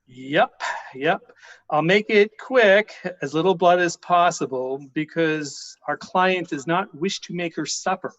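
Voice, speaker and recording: A man's voice: on the high side, measured pace, fairly bright tone, no room sound. A man playing a casual, businesslike hired killer in a radio play, offhand and reassuring, on a dull recording. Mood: calm, businesslike. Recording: dull, lo-fi sound.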